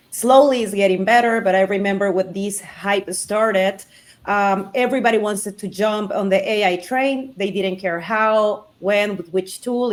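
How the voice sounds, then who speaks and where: A woman from Costa Rica speaking frankly as a panelist on a webinar over a video call. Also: medium pitch. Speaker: panelist, data program lead. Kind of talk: casual panel talk.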